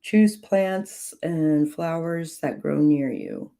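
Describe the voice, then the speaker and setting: A slightly hoarse woman with a low, gravelly voice reads aloud slowly and evenly on a livestream. Her tone is dark and mellow, and she drops in short, dry asides between passages. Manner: slow, measured reading with clipped, dry asides to chat. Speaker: livestream host reading from a witchcraft book. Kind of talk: reading aloud with chat asides.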